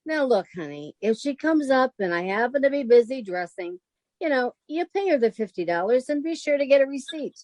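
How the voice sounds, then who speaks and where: A woman plays a level-headed, long-suffering roommate in a vintage radio comedy. Her voice sits fairly high and sounds close and dry. She speaks with deliberate, wry patience. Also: big pitch swings, a little mellow, no room sound. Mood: patient, instructing. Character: sensible, exasperated woman roommate, wry narrator of the show. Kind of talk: old-time radio comedy acting.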